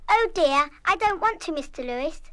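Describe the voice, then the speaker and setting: Very high, bright headstrong English schoolgirl. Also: animated, normal speed, no echo, exaggerated voice. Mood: contrite. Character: headstrong, impulsive, warm-hearted young schoolgirl. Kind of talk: full-cast audio drama acting.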